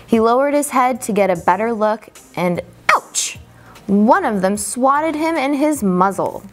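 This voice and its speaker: A woman reading a children's picture book aloud as a storyteller, expressively and deliberately, drawing out key words for emphasis. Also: fairly high pitch.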